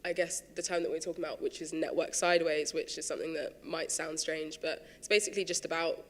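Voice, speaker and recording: A man moderating a panel talk, hedging a little as he sets up his next question. His voice sits fairly high and stays flat, with the long reverb of a big theatre behind it. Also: fast talker. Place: large theatre stage.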